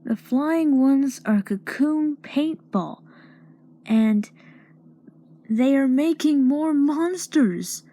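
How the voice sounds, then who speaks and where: A woman voicing an excitable, easily frightened cartoon girl adventurer, acting out voicelines in a theatrical, expressive way. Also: high-pitched, very slow, no room sound. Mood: worried. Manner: theatrical, expressive line delivery. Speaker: amateur voice actor. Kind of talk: acted character voicelines.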